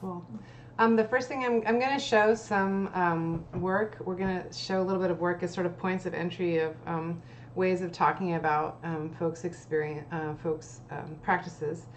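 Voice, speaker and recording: A woman's voice: fairly low pitch, fairly even intonation, moderate pace, slight background noise. A woman co-hosting and moderating a streamed artist salon over a video call, with many fillers. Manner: friendly, filler-heavy moderator. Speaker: co-host.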